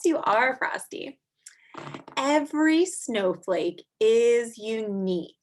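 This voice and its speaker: A woman in her thirties reading aloud and explaining to young children in an animated, expressive way, like a teacher. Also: a little high. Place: small furnished room at home, indoors.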